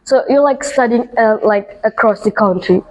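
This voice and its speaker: A woman's voice: a little high, normal speed, rough recording. A woman co-hosting an education podcast, with a friendly, encouraging way of asking questions. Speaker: podcast co-host.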